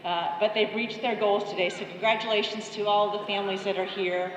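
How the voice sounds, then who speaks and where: A woman's voice: medium pitch, steady tone, a little fast, slight background noise. Woman in her 50s, guest speaker at a podium, conversational tone, echoey hall, long reverb, muffled sound. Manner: conversational, informal address. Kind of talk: ceremony speech. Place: large ceremony hall. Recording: echoey, dull hall recording.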